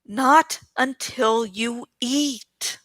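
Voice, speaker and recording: A woman voicing a brisk, kindly, scolding village healer in a storybook reading, with a firm, motherly manner. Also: fairly high pitch, a bit bright, no room sound, exaggerated voice. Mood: scolding, reassuring.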